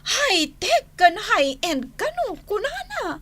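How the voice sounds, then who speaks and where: A woman acting an excitable, fussing relative in a radio drama, gossipy and exclamatory, with an Ilocano accent. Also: very high-pitched, takes it slowly, noisy background, exaggerated voice. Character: excitable, tattling female relative fussing over the household.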